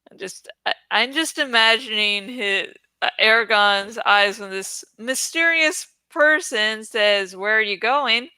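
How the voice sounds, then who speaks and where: Bright, clear-voiced woman chatting casually. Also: a little high, unhurried, no room sound. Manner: informal, giggly, swears freely. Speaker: host of a book read-along channel. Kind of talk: casual rambling chat about a book.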